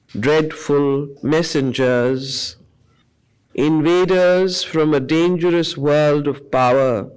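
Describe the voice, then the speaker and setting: A woman facilitating a study circle, reading poetry in a deliberate, measured way with pauses between short phrases and explaining it as she goes. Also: low voice, darkish tone, low-quality recording.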